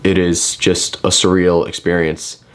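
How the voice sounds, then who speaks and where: A man's voice: deep voice, little pitch movement, a little slow, a bit bright, clean tone. Upbeat young man hosting a vlog. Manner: upbeat, addresses viewers directly. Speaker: vlog host. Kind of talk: casual YouTube intro and interview.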